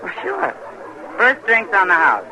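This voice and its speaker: An actress playing a worldly, wry saloon keeper in an old-time radio western, speaking knowingly. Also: female voice, mid-range voice, wildly swooping pitch, measured pace, bright, forceful tone, heavily acted, rough recording. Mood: friendly, knowing. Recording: old radio recording, faint noise.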